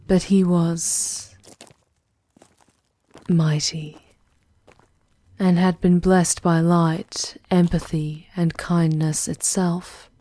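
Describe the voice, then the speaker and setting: Lady doing a demon queen for asmr roleplay, really slow, soft mellow voice, formal and world-weary, very dry close sound. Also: fairly low pitch, level tone, no room sound. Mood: wistful. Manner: measured, formal, dropping into breathy whispers. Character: regal, world-weary demon queen, once human, guiding a wanderer.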